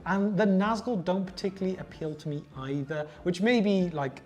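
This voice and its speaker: A man's voice: high voice, normal speed, darkish tone. A man co-hosting a tabletop wargaming show, chatting casually and sometimes reading out rules. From Wales. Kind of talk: casual chat with some reading out of game rules.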